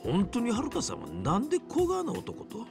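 An actor playing a brash middle-aged yakuza underboss in a video game, with a Hiroshima accent and rough, blunt dialect. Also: male voice, sits high, huge pitch swings, normal speed, music in the background, full character voice. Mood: casual, concerned. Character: brash, gruff male yakuza underboss, loyal to his elder. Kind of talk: acted video game cutscene dialogue.

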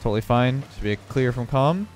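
A man's voice: medium pitch, a little fast, a little hiss or hum. Congested young man calling his gameplay. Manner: relaxed running commentary on his own play. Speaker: gaming video creator. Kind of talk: live gameplay commentary.

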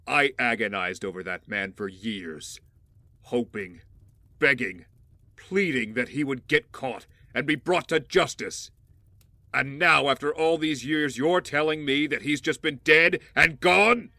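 A man's voice: medium pitch, measured pace, sharp tone, no echo. An actor playing a brooding, resolute rich heir in an audio drama, earnest and accusing as he speaks of justice. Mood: anguished, outraged. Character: brooding, intense wealthy male heir bent on justice.

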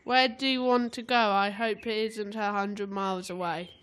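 A woman's voice: medium pitch, fairly even intonation, moderate pace, a bit bright, lightly acted. A woman playing a rude, tired, impatient taxi driver and ticket officer in an amateur radio play. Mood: grumpy, impatient. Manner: blunt, impatient, bossy. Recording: faint background noise.

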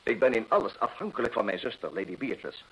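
An actor playing a proud, desperate gentleman landowner in an old radio drama, husky, earnest and theatrical. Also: lowish voice, sing-song, fast talker, heavily acted, rough recording. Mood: anxious, pleading. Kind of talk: old-time Dutch radio drama acting.

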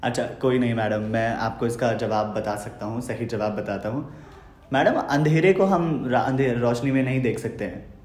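A man's voice: mid-range voice, normal speed, darkish tone, a little hiss or hum. A man in his twenties, politely and a little hesitantly hosting a mock-formal phone quiz as a prank caller. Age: 20s. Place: small home room, indoors. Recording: roomy indoor echo.

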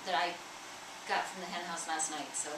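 A woman's voice: on the low side, fairly even intonation, brisk. A middle-aged woman who runs a farm stand, explaining her eggs to a customer in a friendly way. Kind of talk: casual chat. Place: small indoor farm store. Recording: distant, echoey, noisy room.

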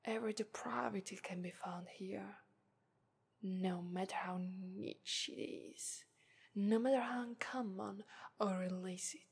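Lady doing a smug lust demon girl, slow breathy whisper, gravelly edges. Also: mid-range voice, fairly even intonation, full character voice.

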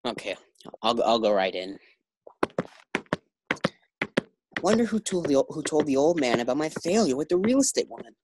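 A woman's voice: low-pitched, big pitch swings, fast, noisy background. A woman playing an eager, bumbling young husband in a 1940s-style radio comedy. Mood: worried. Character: young husband George, eager bank clerk.

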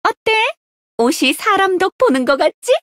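A woman's voice: very high voice, animated, normal speed, a bit bright, dry recording, clean studio sound. A woman voicing a brash, money-hungry young female mercenary leader in a game, with cocky, theatrical taunts. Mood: smug, haggling. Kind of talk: Korean mobile game character voice lines.

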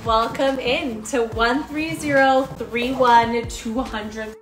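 Woman, real estate agent, cheerful tour guide, higher voice, distant roomy sound with music bed. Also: fairly bright tone. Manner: upbeat, repeats words for emphasis. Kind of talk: walkthrough house tour. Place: inside a house, walking room to room.

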